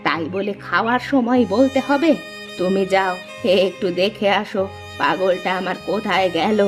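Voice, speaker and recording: A woman's voice: mid-range voice, normal speed, close to an everyday voice. Cartoon role: careworn village mother, middle-aged, scolding and plaintive played by a woman, music underneath. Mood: worried, reproachful. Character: poor hardworking village wife and mother, worried, nagging. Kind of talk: Bengali cartoon drama dubbing.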